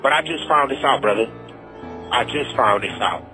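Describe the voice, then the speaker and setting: American male, pleading and emphatic, bright insistent voice on a phone line with music behind. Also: medium pitch, animated, moderate pace. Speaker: prisoner telling his story. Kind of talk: impassioned personal plea over the phone. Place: prison phone call.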